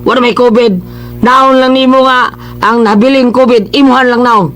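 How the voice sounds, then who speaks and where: A man's voice: very high-pitched, moderate pace, over music. An actor playing a cowardly, blustering policeman in a Cebuano radio drama, stammering and repeating himself with a Cebuano accent. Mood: flustered bluster. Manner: stammering, excitable, repeating words in panic. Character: cowardly male policeman, panicky, whining, blustering husband.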